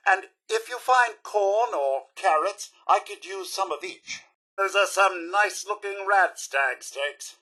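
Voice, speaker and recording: High, prim British robot butler. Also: male voice, pushed and bright, slightly rough, heavily acted. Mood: cheerful. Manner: prim, formal, theatrical. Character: courteous, chipper robot butler with theatrical flair. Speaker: robot servant and tour guide. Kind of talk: video game character voice lines.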